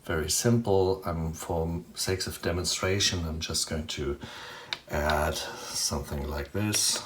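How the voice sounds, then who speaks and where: A man's voice: extremely low pitch, slow. A man giving a hands-on, unscripted synthesizer tutorial, thinking aloud as he works the gear. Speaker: demonstrator of a synthesizer patch. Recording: roomy echo, noticeable background noise, clicks.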